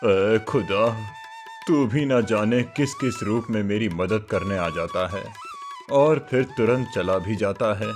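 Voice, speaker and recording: A man's voice: lowish voice, slow pace, voice pushed down. A man voicing a thirsty, grateful old wandering holy man in a cartoon moral story, humble and reverent. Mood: grateful, devout. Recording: music bed under the voice.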